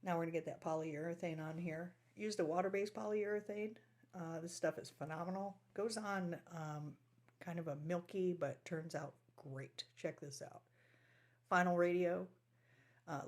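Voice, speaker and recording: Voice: mid-range voice, fairly even intonation, normal speed. Casting: DIY restoration host with a mid-range voice, informal and folksy, talking through hands-on work step by step. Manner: folksy, colloquial phrasing. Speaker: host of a restoration project video. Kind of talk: casual how-to narration of a restoration project.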